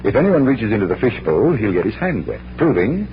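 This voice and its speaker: An actor playing a calm, shrewd gentleman magician detective, courteous and knowing in an old-time radio style. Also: fairly low pitch, fairly quick. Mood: shrewd, probing. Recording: dull old radio recording, faint noise, hum.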